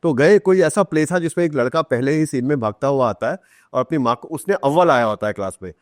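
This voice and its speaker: A man's voice: mid-range voice, expressive, quick pace, no echo, clean studio sound. A man in his sixties or older with an Indian accent, chatting as a podcast guest and telling anecdotes, slipping English phrases in. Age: 60s or older. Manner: switches into English phrases, acts out quoted dialogue. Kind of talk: conversational anecdotal storytelling.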